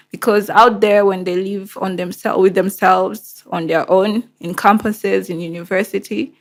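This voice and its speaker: A woman with a Rwandan accent and Kinyarwanda as first language, hosting and telling a personal story in a candid, conversational, emphatic way. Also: mid-range voice. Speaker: show host.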